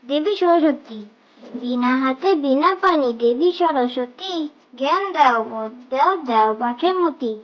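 A woman reciting children's nursery rhymes in sing-song, measured phrases. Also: high-pitched, highs cut off.

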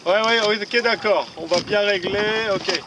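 High-pitched man coaching over loud wind noise. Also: unhurried. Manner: encouraging, repeats instructions. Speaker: sailing coach filming from a boat. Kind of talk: casual coaching and commentary on the water. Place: outdoors on a boat at sea near shore. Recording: loud wind and boat noise, distant mic.

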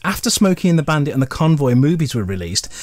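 Middle-aged British male presenter, animated intonation, dry close sound, chatty documentary narration, wry humour. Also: a little high, fast talker, dry recording. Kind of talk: scripted retrospective documentary narration.

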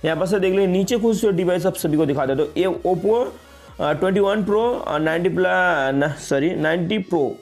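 A man's voice: sits high, moderate pace, darkish tone, faint music behind. A young man hosting a casual tech tutorial, repeating himself and mixing English words into his talk. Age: young adult. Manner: repetitive, frequently addresses viewers as guys, mixes in English words. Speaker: tech video host. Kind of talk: casual phone update tutorial.

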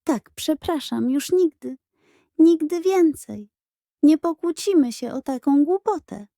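A woman's voice: high-pitched, expressive, unhurried, dark tone, no room sound, clean studio sound, voice pushed up. A woman voicing a young boy, an eager, tender and emotional little brother in a children's audiobook. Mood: remorseful, earnest.

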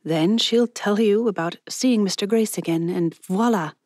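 Lady narrator doing bold chatty young gal, confident and clear, audiobook character, dry sound. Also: mid-range voice, a little slow, a little mellow, no echo, pitched above the performer's own voice, lightly acted. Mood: eager, scheming. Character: bold, chatty young woman with exotic accent.

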